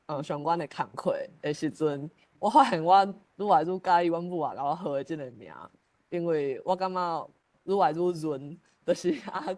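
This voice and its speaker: A man's voice: a little high, darkish tone, dry recording. A man with a Taiwanese accent hosting an online forum and sharing personal thoughts in a reflective, conversational manner. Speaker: forum host. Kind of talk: casual personal sharing while moderating. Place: online meeting.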